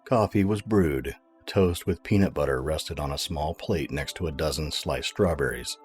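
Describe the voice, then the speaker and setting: A man's voice: very deep voice, normal speed, a little mellow, soft background music. A man narrating a scripted audio drama as its storyteller.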